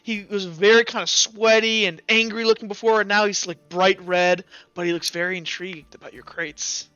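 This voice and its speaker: Guy game master chatting and narrating, highish bright voice, dry mic. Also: steady tone, normal speed, smooth, no room sound, muffled recording. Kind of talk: casual tabletop game narration and banter.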